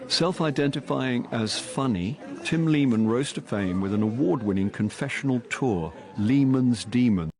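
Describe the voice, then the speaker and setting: An actor playing a wry, unlucky ageing actor with a British accent, dry and sardonic in a radio comedy drama. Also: male voice, medium pitch, lively intonation, normal speed, hardly any pauses, a crowd in the background, lightly acted. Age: older. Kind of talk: radio comedy drama, confiding narration and dialogue.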